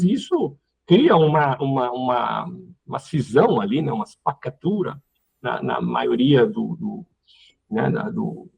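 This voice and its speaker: Casting: Brazilian male panel guest, rough husky tone, thinks aloud with repeated words, slightly muffled online audio. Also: mid-range voice, a little mellow. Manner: halting, repeats words while searching for phrasing. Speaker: panel guest, community representative. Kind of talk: unscripted political commentary in an online panel. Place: online live call.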